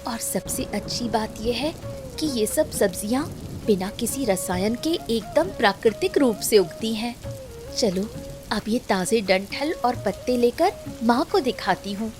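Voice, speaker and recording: A woman's voice: on the high side, animated, unhurried, music in the background. A woman voicing cheerful, dutiful village women in a cartoon family story. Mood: cheerful, admiring. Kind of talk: Hindi cartoon story voice acting.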